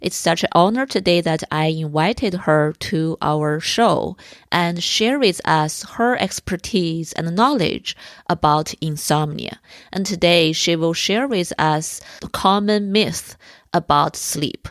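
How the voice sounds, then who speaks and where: A woman with a cold-roughened, hoarse voice, hosting an interview and politely asking questions. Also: lowish voice, slow, dry recording, studio quality. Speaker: podcast host. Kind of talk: conversational interview.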